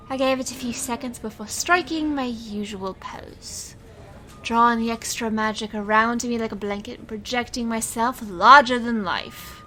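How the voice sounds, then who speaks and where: A woman playing a showy, defiant young magician in an audio drama, with theatrical delivery and fierce protectiveness. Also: fairly high pitch. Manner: theatrical showman delivery, swinging to hushed narration. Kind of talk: audio drama acting with first-person narration.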